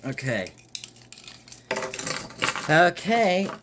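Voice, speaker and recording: Casual unboxing host, mid-pitched voice, halting and wry, clearly noisy desk recording. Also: very animated. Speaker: host unboxing weather radios. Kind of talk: casual live chat and unboxing. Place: at a desk indoors. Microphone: desk microphone set a bit low. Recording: noisy desk microphone with clicks.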